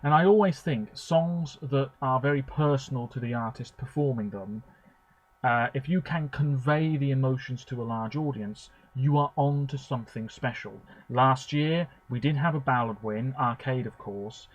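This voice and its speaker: A man rambles on in a chatty, opinionated way, full of fillers and asides, his pitch sitting in an ordinary middle range. He talks to the camera from a room at home, as a video blogger sharing his views. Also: moderate pace, dull audio. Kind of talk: rambling commentary.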